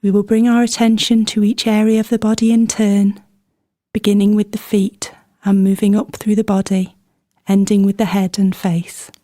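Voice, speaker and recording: A woman guides a meditation with a relaxed, warm and mellow voice. She speaks gently and soothingly, leaving unhurried pauses between her instructions. The sound is very dry, with no sense of the room around her. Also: medium pitch, dry recording. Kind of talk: guided body scan meditation, scripted instruction.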